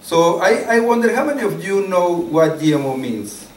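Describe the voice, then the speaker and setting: A man in his forties with a Mexican accent and Spanish as his first language addresses a public meeting as moderator. His voice is a little high. It echoes around the hall over faint noise. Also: very animated, moderate pace, recorded from a distance. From Mexico City, Mexico. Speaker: event moderator, activist. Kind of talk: informal public speech, partly read introduction. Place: wood-panelled community hall, at a lectern. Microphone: mic on stand.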